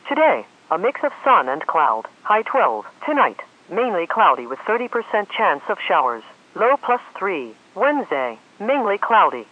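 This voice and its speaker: Female automated forecast reader, formal synthetic delivery with very varied intonation, muffled lo-fi radio sound. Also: on the low side. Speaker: automated weather radio announcer. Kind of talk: scripted forecast and climate summary reading. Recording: dull lo-fi weather radio audio.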